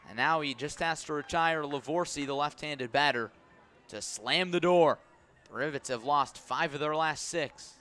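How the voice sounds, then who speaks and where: Bright, punchy male baseball play-by-play announcer. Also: on the high side. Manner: clipped, punchy phrases that build with the action. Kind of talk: live sports play-by-play commentary.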